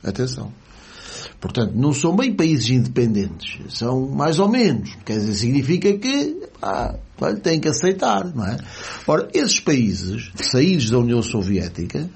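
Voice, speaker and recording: A man's voice: mid-range voice, sing-song, moderate pace, creaky, darkish tone, slightly rough. A man with a European Portuguese accent in casual political chat, rambling with many fillers and restarts in an ironic tone.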